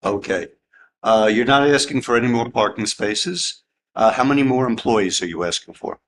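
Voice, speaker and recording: A man on a planning board, loud-voiced and deliberate as he questions an applicant. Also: medium pitch, a little hiss or hum. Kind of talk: questioning at a public meeting.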